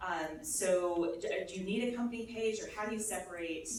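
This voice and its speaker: A woman moderating a business panel, asking a question in a halting way with many pauses. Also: mid-range voice, darkish tone, a little hiss or hum. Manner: halting, many ums and uhs. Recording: echoey hall, mic far off.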